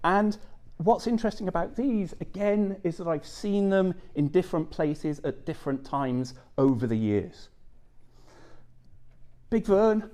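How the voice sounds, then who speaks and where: A man's voice: a little high, warm tone. A middle-aged man giving a conference talk, conversational, checking in with the audience with frequent "okay" and "yeah". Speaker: academic presenter.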